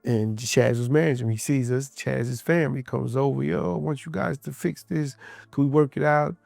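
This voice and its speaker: A man's voice: medium pitch, fairly even intonation, normal speed, vocal fry, darkish tone, no echo. A man casually recounting a past fight in an interview, emphatic and loose, acting out what people said. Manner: loose, emphatic, quotes people in his story.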